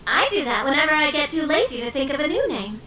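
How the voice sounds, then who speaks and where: A woman's voice: sits high, quick pace, a loud crowd. A teasing theatrical young lady. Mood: playful. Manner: lively, teasing, fond of drama. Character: playful young woman, theatrical and mischievous. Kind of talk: game voice acting. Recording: distant echoey game audio with music.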